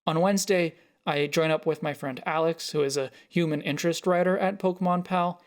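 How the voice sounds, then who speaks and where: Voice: mid-range voice, steady tone, a little fast. An author reading their own first-person short story as scripted narration.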